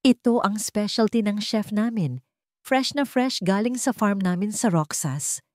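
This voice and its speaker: Woman acting a scheming, sugary rich young woman, higher-pitched. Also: animated, a little slow, dry recording, studio quality, lightly acted. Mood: sugary, inviting. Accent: Filipino. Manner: sugary, needling.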